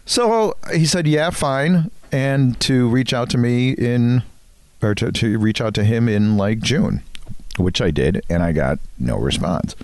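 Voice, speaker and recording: A man's voice: medium pitch, unhurried, darkish tone, no echo. A man co-hosting a podcast, telling anecdotes casually in a jokey, self-deprecating way. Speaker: podcast co-host.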